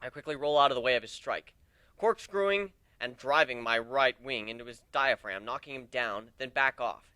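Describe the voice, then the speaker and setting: A man giving a theatrical, expressive first-person dramatic reading of a story. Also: medium pitch, moderate pace, clear, resonant tone, no echo. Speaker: amateur reader of fan fiction.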